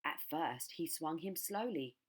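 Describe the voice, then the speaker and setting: A woman's voice: sits low, lively intonation, a little slow, husky. A woman reading a children's story aloud as a primary school storyteller.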